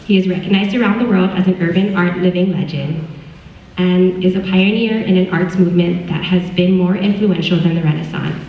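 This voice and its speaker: A woman moderating a Q&A, reading guest introductions and asking questions politely, a little halting. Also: on the low side, steady tone. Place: large hall at a film screening. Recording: echoey hall from far off, dull.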